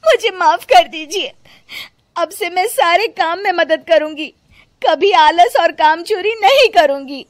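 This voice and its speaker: A woman's voice: a little slow, no echo, studio quality. A woman voicing a lazy, sulky young daughter-in-law who whines and talks back. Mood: wailing. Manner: whiny, shrill, defiant.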